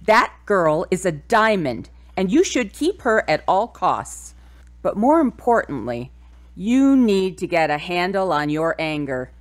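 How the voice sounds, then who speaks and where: A woman acting as a stern, loving middle-aged mother in an audio drama. She speaks very slowly in a lowish voice with lively swings of pitch, probing and admonishing. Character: stern but loving mother of a grown son.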